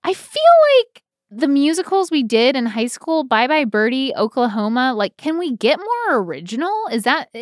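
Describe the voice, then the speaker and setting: A woman in her thirties co-hosting a casual chat, telling stories in an animated, irreverent way peppered with "like". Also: sits high, moderate pace, dry recording, studio quality. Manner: animated, irreverent, frequent "like" filler. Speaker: podcast co-host.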